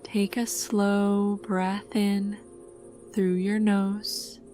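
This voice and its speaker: A woman narrates a scripted sleep meditation, calm and soothing. Her delivery is very slow and unhurried, and her pitch stays flat at a medium height. Music plays clearly behind her voice.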